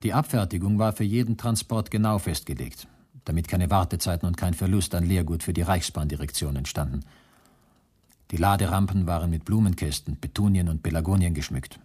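A man's voice: sits low, a little fast, darkish tone, breathy edge. An actor playing a male camp survivor who recounts his ordeal soberly and precisely in a radio drama monologue. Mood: matter-of-fact.

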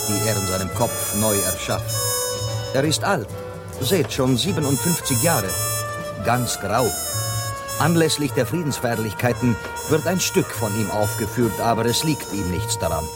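A man acting in a radio play as a courteous, talkative Spanish gentleman who guides foreign visitors. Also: medium pitch, lightly acted. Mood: solemn. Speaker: actor.

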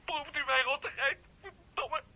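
A man's voice: very high-pitched, a little slow, pushed and bright, full character voice. An actor playing a volatile, jealous young man in a radio play, agitated and stammering. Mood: agitated, bitter. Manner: agitated, stammering, repeating himself. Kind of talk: naturalistic radio play acting.

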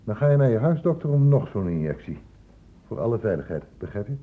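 An actor playing a stern, insistent doctor in a radio play, blunt and urgent, in a lecturing way. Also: male voice, on the low side, sing-song, fast, a little hiss or hum. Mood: stern, probing. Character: stern, insistent male doctor questioning young people. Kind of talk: Dutch radio play acting. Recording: muffled old radio play recording.

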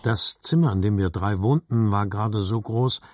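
An actor narrating as a grown man remembering his childhood, measured and reflective, in scripted literary style. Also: fairly low pitch, lightly acted.

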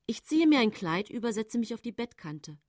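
A woman's voice: fairly high pitch, sing-song, moderate pace, a little mellow, no echo, clean studio sound. An actress playing a composed, matter-of-fact woman poisoner who recounts her case in sober radio play narration. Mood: numb, factual. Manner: sober, controlled storytelling.

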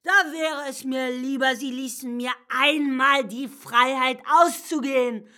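An actress playing an evasive, wounded young woman in a literary radio drama, halting and confiding, at times dropping toward a whisper. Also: sits high, fairly even intonation, pushed and bright, dry recording, clean studio sound. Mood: scornful.

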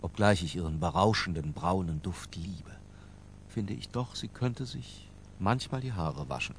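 An actor playing an obsessed, middle-aged European intellectual who narrates his diary in a radio play, measured, erudite and disdainful. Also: sits low, lively intonation, slight background noise. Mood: brooding, confessional.

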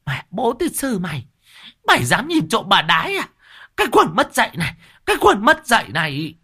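A man's voice: extremely high pitch, lively intonation, brisk, bright, forceful tone, voice pushed up, full character voice. A man voicing a furious middle-aged village woman who screeches curses. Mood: shrieking furious.

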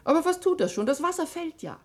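A woman playing a gentle, wistful old wife in a German radio play, mildly plaintive and soft-hearted. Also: very high-pitched, sing-song, quick pace. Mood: wistful reproach. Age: older.

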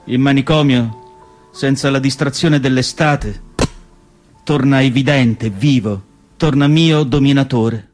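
A man playing a reflective, melancholy asylum doctor in a radio theatre monologue, speaking in a measured, literary way with deliberate pauses. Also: medium pitch, a little mellow, soft background music, lightly acted. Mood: grave, heartfelt. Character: reflective asylum doctor narrating his patients, melancholy and devoted. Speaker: actor reading as narrator.

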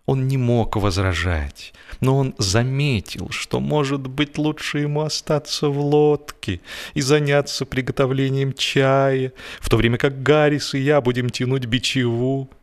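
A male audiobook narrator with a mid-pitched voice reads comic literary prose. His delivery is expressive and measured, and he places his pauses deliberately. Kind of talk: scripted humorous literary narration.

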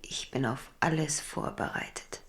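An actress playing an amorous, tender and possessive young queen in a German radio drama, in a breathy whisper. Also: sits low, measured pace. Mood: soft, possessive.

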